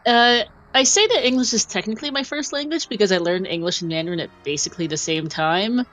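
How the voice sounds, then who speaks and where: A woman's voice: mid-range voice, fast, fairly bright tone. Chatty woman commentating a game run. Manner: chatty, laughs often, reads out clues. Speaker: tournament commentator. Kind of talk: casual live game commentary.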